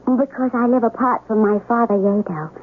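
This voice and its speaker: A woman plays a gentle young noblewoman in an old radio play, her voice high and delicate. She is formal and reserved, heard through a muffled broadcast. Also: normal speed, close to an everyday voice. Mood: demure, reserved. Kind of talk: 1970s radio drama acting. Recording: muffled vintage radio broadcast, faint noise.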